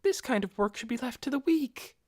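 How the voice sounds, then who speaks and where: A man's voice: very high voice, expressive, brisk, dry recording, studio quality, voice pushed up. A man voicing a devoted, haughty female adventurer who speaks formally and indignantly in an audiobook. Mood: indignant devotion.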